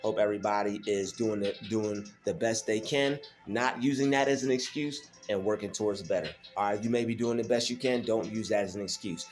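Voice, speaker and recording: A man's voice: on the low side, fairly even intonation, brisk, few pauses, soft background music. A man hosting his own faith vlog alone at home, talking casually and emphatically, repeating phrases and using many fillers. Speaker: channel host.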